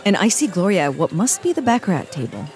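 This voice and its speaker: An actress playing a snarky tech specialist who delivers sarcastic, quick quips. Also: female voice, lowish voice, sing-song, darkish tone.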